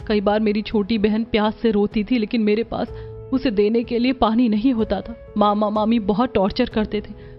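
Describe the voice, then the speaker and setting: A woman's voice: a little high, muffled recording, natural voice. A woman playing an earnest, principled young daughter-in-law in a cartoon family drama. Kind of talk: cartoon story character acting.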